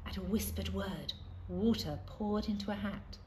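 A middle-aged woman reading a children's storybook aloud expressively, with deliberate, dramatic pauses. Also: medium pitch, warm tone. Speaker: primary school staff member reading aloud. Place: outdoors in a leafy school playground. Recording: faint outdoor ambient noise.

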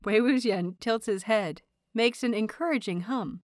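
A woman reading scripted fiction aloud as an audiobook narrator, in an expressive, measured storytelling manner. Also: fairly high pitch, no echo, clean studio sound.

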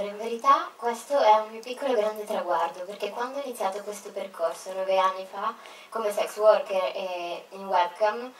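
A young woman giving a stage talk, halting and emotional, with many pauses and fillers. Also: medium pitch. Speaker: speaker. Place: large theater stage. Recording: echoey hall, far-off mic, faint noise.

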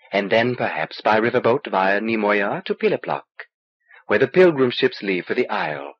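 A small, knowing alien sorcerer played by a male narrator speaks in dry, cryptic, measured lines. The voice is husky and moves freely in pitch. It comes from an audiobook on a dull old cassette. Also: no echo. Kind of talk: audiobook character dialogue.

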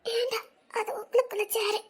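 Young woman, very high and childlike voice, shy, teary, coy, evasive, romance audio drama. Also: little pitch movement, brisk. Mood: tearful. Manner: pleading, brushes things off.

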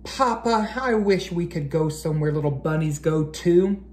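A man's voice: on the high side, moderate pace, close to an everyday voice. An American man voicing an eager, wishful little bunny child in a storybook read-aloud. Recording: roomy echo, background noise.

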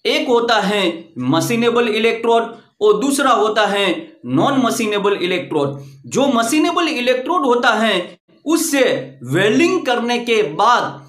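Slow man explaining in an echoey room. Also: very high-pitched, fairly bright tone. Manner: slow, methodical explaining with repetition. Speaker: welding instructor. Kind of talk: whiteboard lesson. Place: indoor room in front of a whiteboard. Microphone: lapel mic. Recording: very echoey room, distant mic.

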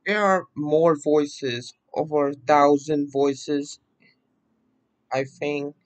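Guy doing a casual screen recording walkthrough, talks slow and halting, kinda higher voice. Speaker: presenter of a software voice-pack demo.

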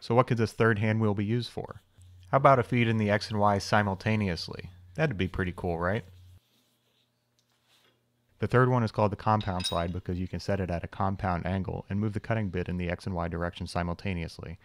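A man's voice: fairly low pitch, steady tone, a little fast. A young man narrating a scripted shop-tour voice-over, explaining machines with dry, wry humor. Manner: dry, wry humor with self-deprecating asides. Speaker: channel host, machinist.

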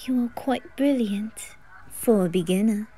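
A woman voicing a cocky, smitten young aristocratic boy in an anime fan roleplay, speaking in a hushed, coaxing way. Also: medium pitch, unhurried, breathy edge, voice pushed down. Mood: gleeful.

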